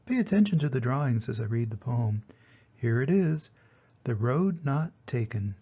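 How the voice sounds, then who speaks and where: A man's voice: mid-range voice, expressive, normal speed, dark tone, dull audio. An older man, a grandfather, reading and explaining to young children in a plainspoken, direct way, on a home recording.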